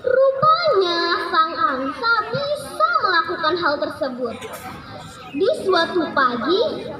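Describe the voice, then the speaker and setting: Very high-pitched woman reading a storybook. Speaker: storyteller reading a fable aloud. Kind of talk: scripted storybook narration, read aloud. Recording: echoey, noisy room with background crowd.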